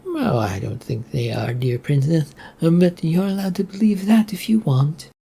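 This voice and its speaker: A storyteller voicing a gentle, gleeful old castle cook with a kindly, motherly manner. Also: mid-range voice, animated, measured pace, gravelly, breathy edge, voice pushed up, full character voice. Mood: kindly, assured. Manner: kindly, motherly, food-obsessed.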